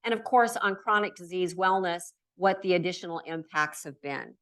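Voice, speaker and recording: A woman's voice: lowish voice, moderate pace, a bit bright. A middle-aged woman from Nebraska giving an emphatic conference talk in a lecture hall, stressing key words in repeated lists. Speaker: keynote speaker. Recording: voice from far off the mic.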